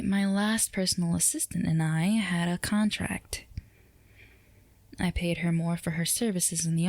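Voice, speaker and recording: Woman acting a low-voiced, flirtatious, dominant boss. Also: a little mellow, dry recording. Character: flirtatious, dominant female boss, teasing and self-assured. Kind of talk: intimate roleplay ASMR audio drama.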